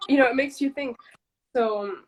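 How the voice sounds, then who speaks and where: A young woman guest on a video call, speaking in a relaxed, reflective way with lots of "like" and "you know.". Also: high-pitched, normal speed, a little hiss or hum, low-quality recording. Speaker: interview guest. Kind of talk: casual interview chat.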